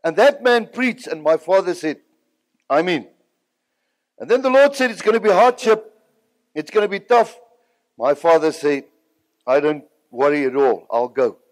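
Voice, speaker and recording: High-pitched older South African man preaching. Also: no echo. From Southern Africa, born in Zimbabwe, raised partly in South Africa. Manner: emphatic, repeats phrases for effect. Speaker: preacher giving a testimony. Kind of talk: sermon-like personal testimony, storytelling to an audience.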